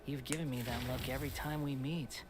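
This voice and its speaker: An actor playing a mocking young male god in a video game, speaking in a hushed, breathy half-whisper with a condescending tone. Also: mid-range voice, steady tone, brisk, noisy background, lightly acted. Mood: taunting. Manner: taunting, condescending.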